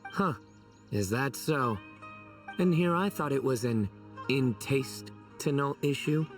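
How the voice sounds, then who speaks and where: A man playing a composed, unruffled adult man with a love of bad puns in a video game, speaking deadpan. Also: medium pitch, slow, far from the mic, faint music behind. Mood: deadpan, amused.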